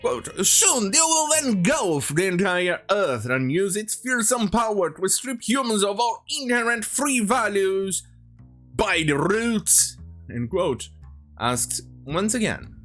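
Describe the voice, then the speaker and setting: Sarcastic commentator with wildly swinging pitch. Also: very animated, fairly bright tone, soft background music. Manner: sarcastic, halting, sweary. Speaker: online commentator. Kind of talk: opinionated rant commentary, reading quotes aloud.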